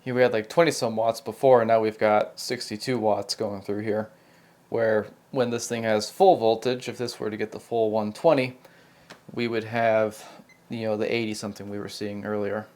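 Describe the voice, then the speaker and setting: A man's voice: on the low side, flat delivery, moderate pace, a little mellow. An American man giving an unscripted, hands-on technical demonstration, talking casually and thinking aloud as he works through it as host. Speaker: host of a repair video channel.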